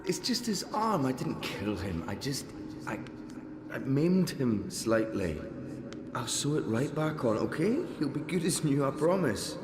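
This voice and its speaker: An actor playing a tender, earnest, protective young father in a video game cutscene, with a gentle, reassuring manner. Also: mid-range voice, big pitch swings, measured pace, roomy sound, noisy background. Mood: sheepish, soothing.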